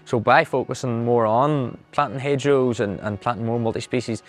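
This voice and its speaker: A man's voice: mid-range voice, brisk, soft background music. A man in his thirties with a Donegal Irish accent, a farmer talking earnestly and plainly about his farm. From Ireland. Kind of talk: interview monologue.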